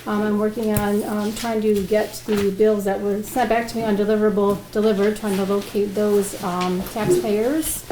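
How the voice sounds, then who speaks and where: A woman's voice: medium pitch, level tone, normal speed, few pauses. A woman giving a formal town clerk's report at a meeting. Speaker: town clerk and tax collector. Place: indoor public meeting room. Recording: echoey meeting room, faint noise.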